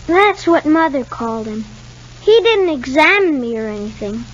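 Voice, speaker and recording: Radio soap: small boy, high voice, polite, downcast, reticent; dull vintage recording. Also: big pitch swings, moderate pace, a little hiss or hum. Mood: guarded, innocent. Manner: reticent, short hesitant answers. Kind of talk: 1950s radio soap acting.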